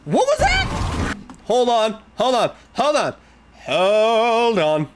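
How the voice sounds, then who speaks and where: A man giving excited, exclamatory reaction commentary as a video host, talking back to what he watches in a geeky way. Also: very high voice, slow, noisy background.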